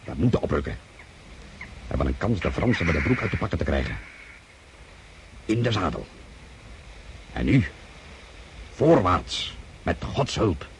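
A man's voice: sits low, very animated, a little slow, long pauses, a little hiss or hum, heavily acted. A man playing a wary, commanding cavalry general in a radio play, curt and brooding as he gives orders. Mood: urgent, resolute.

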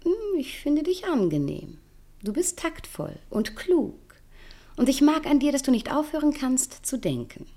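An actress playing a cool, sharp-witted, mocking woman lover in a German radio play, teasing, blunt and provocative. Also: high voice, big pitch swings, measured pace, heavily acted.

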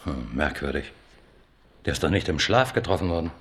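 A deep-voiced chief inspector in a radio crime play. He is curt and commanding, with a gruff, sardonic manner and diction. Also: animated, brisk, a bit bright, exaggerated voice. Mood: brisk, probing. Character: gruff, pressured male chief police inspector leading murder inquiry.

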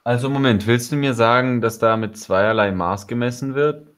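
A man's voice: mid-range voice, normal speed, fairly bright tone. A man co-hosting a casual podcast discussion with an ironic, mock-incredulous manner. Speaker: podcast co-host.

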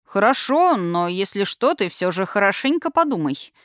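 A woman's voice: mid-range voice, big pitch swings, normal speed, dry recording, clean studio sound, natural voice. A woman voicing a cocky, earnest eighteen-year-old male shifter with an indignant, boastful manner in a fantasy audiobook.

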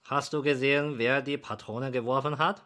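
An actor playing a suspicious underworld boss in a radio drama, questioning curtly. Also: male voice, medium pitch, steady tone, moderate pace, bright, forceful tone, lightly acted. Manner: curt, probing. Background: faint guitar music.

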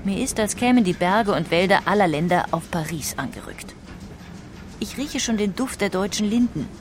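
A woman reading scripted documentary narration in a measured, literary manner. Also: mid-range voice, expressive, a little hiss or hum. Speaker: audiobook narrator.

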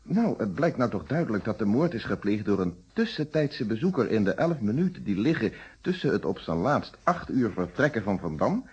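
An actor playing a steady, reflective police inspector recounting his case in a Dutch radio drama, with a Netherlands accent and measured, polite delivery. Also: lowish voice, husky, dull audio, heavily acted. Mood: reflective, explanatory. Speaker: actor in a detective radio play.

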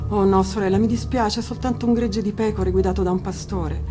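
An agitated elder sister in a storybook tale, acted by a woman, calls down from her watch. Her voice is raised in pitch and restless. Music is clearly audible behind it. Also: fairly even intonation, a little fast, natural voice. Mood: sorry. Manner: agitated, calling out observations. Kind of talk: dramatic fairy-tale reading. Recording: music under the voice.

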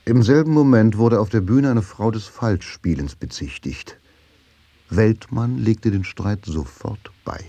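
An actor playing the grave narrator of a radio crime drama, delivering scripted prose in deliberate, suspenseful phrases. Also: male voice, fairly low pitch, lively intonation, warm tone, husky, no room sound, heavily acted. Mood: tense narration. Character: grave, measured male storyteller of a crime tale. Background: faint music.

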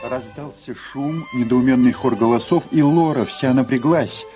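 A man reads dramatic story narration for a radio play. His voice is medium in pitch and mellow, with faint music behind it. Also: normal speed.

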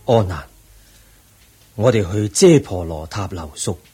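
An actor playing an aged, serene Buddha in a Cantonese radio drama, with a Hong Kong accent and a solemn, measured, husky delivery. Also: male voice, deep voice, animated, dark tone, soft background music, heavily acted. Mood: calm, firm. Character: aged, serene Buddha, eighty, weary yet calm teacher.

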